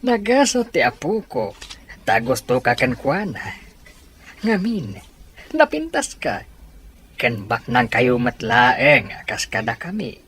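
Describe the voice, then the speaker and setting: A man's voice: medium pitch, wildly swooping pitch, slow, fairly bright tone, a little hiss or hum, full character voice. A man playing a kindly, playful old grandfather in an Ilocano radio drama, with a coaxing, chuckling delivery. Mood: playful, fond.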